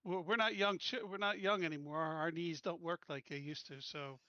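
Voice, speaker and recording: Bright-voiced male tabletop player. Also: a little high, steady tone, normal speed, no echo, dull audio. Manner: casual, hesitant. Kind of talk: playing a character at a tabletop game.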